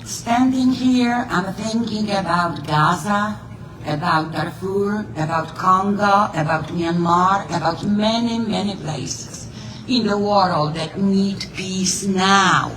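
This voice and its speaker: A woman from Poland speaks in a slow, reflective way. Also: medium pitch. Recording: background noise.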